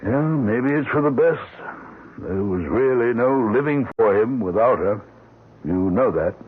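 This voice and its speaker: Man playing kindly family doctor, matter-of-fact, expressive pitch, thin vintage broadcast sound. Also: measured pace, a little hiss or hum, close to an everyday voice. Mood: regretful. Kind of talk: old-time radio drama acting. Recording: muffled, tinny old radio broadcast.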